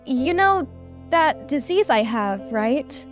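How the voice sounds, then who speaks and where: A woman playing a gentle, anxious young princess in a fantasy audio drama, speaking in a halting, heartfelt way. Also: high-pitched, expressive, soft background music. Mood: sorrowful, hesitant.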